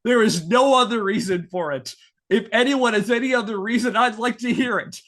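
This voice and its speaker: Guy with a pretty high voice doing podcast storytelling, kinda playful and mock serious. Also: normal speed, fairly bright tone. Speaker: podcast host telling a story. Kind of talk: narrated storytelling with casual banter.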